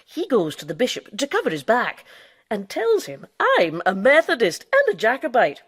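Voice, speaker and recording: A woman's voice: fairly high pitch, wildly swooping pitch, moderate pace, slightly rough, dry recording, studio quality, heavily acted. An actress in a radio drama playing an impudent, boastful female quack doctor who lives as a man. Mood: sly, gossiping. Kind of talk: radio drama acting with narration.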